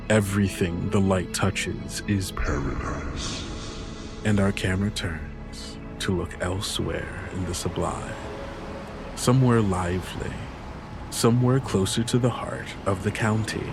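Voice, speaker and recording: A man's voice: low-pitched, slow pace. A man working as game master, telling a tabletop story in descriptive, theatrical narration over music. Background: music under the voice.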